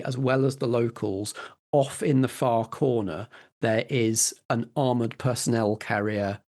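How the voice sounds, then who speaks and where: A man's voice: mid-range voice, darkish tone. A man running a tabletop roleplaying game, narrating scenes and putting questions to players. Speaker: game master.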